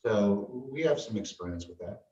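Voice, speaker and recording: Low-voiced man in an echoey room. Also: expressive, normal speed, mellow. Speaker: construction firm representative. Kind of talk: answering questions at a school board meeting. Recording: muffled distant audio in an echoey room with faint noise.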